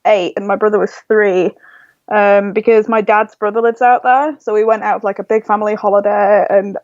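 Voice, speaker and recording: A woman's voice: mid-range voice, steady tone, fairly quick, a little mellow, dry recording. A woman in her twenties with a Yorkshire accent chats as a podcast guest, gushing with enthusiasm and scattering ums and likes through her answers. Her voice comes through dry and a little dull, like a lo-fi remote recording. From Leeds, England. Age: 20s. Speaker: guest, blogger. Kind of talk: casual interview chat.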